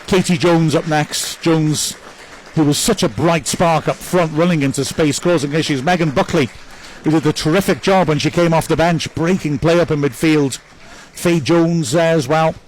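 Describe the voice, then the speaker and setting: A man's voice: a little high, normal speed, a little hiss or hum, poor audio. A man giving live club commentary on a football match, reading out viewer messages and chatting upbeat about each player.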